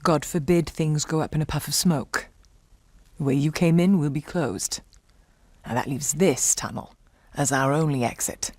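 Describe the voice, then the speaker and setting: A man's voice: on the high side, normal speed, a little mellow, close to an everyday voice. An actor playing a sincere, rugged male adventurer in an earnest video game drama. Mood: grave.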